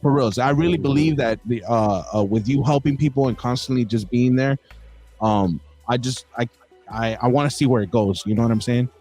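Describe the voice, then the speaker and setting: A man's voice: mid-range voice, brisk, warm tone, soft background music. A man hosting a casual podcast interview, rambling with many restarts and calling his guest bro. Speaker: podcast host.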